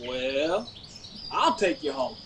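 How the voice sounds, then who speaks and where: A man's voice: mid-range voice, very animated, slow pace, background noise. A man playing a devoted young mountain suitor with a Southern US accent in a radio drama. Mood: tender, resolute. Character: devoted young Appalachian man courting his sweetheart.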